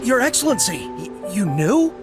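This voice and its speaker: A loyal, zealous young male soldier in a video game. His voice is high and very animated, fervent yet deferential. Music is heard behind him. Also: normal speed. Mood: desperate, fervent. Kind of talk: video game voice acting, dramatic pleading.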